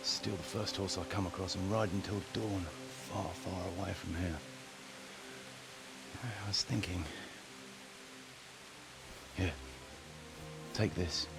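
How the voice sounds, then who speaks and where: An actor playing a weary, resigned fugitive in a video game, with a gravelly voice and a wry, low-key delivery. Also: male voice, steady tone, slow, long pauses, over music, exaggerated voice. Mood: grim, resigned. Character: weary fugitive man, grimly grateful, resigned. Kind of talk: cinematic game dialogue.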